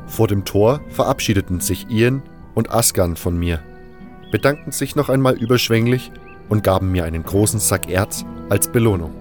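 A man reading a scripted first-person fantasy story as narrator, in a measured, literary delivery. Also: on the low side, darkish tone, faint music behind. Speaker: narrator of a fan audio drama.

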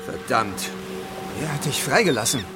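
A man's voice: medium pitch, animated, moderate pace, over loud music, lightly acted. A man playing a sneering, gloating villain with a mocking, smug manner in a German radio drama. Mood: defiant, calculating. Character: sneering, gloating male villain holding others at gunpoint.

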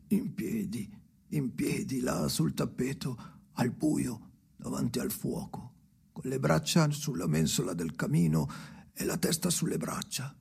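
A haunted old man tells his story in a radio drama. His voice is deeply cracked and creaking, very husky and breathy, often sinking toward a whisper. He speaks very slowly, in halting, brooding fragments that repeat like an incantation. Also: darkish tone, heavily acted. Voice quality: hoarse, breathy, gravelly, often near a whisper. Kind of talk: slow dramatic radio play monologue.

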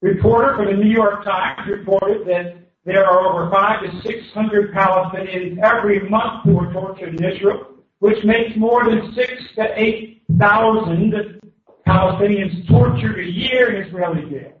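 High-voiced Southern US man orating slowly. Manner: declamatory, speaking in short phrases that pause for translation. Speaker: guest speaker. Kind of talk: public speech given through a consecutive interpreter. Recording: echoey hall, distant tinny mic.

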